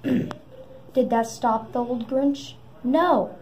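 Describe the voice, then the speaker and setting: Slow, high-voiced woman reading a storybook. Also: dark tone. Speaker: storybook reader. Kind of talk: reading a children's story aloud. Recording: noisy amateur recording.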